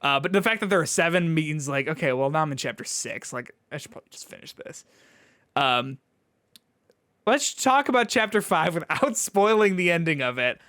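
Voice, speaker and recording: A man's voice: a little high, animated, normal speed, pushed and bright, no room sound, clean studio sound. A man co-hosting a podcast, chatting casually about video games in an informal, hedging way peppered with "like". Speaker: podcast co-host.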